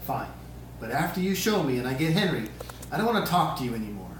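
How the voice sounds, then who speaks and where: A woman's voice: very deep voice, big pitch swings, fast talker. A woman playing a plaintive, protesting nine-year-old boy at an amateur script read. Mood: sulky. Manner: whiny, protesting. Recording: echoey room, distant mic, hiss.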